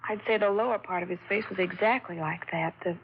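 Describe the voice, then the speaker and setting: Polite gravelly witness, as an actress plays her. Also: mid-range voice, fast, background noise, natural voice. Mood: earnest. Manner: apologetic, earnest. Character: polite female witness, eager to help. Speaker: robbery witness. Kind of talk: 1950s radio drama acting. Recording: dull restored 1950s radio broadcast.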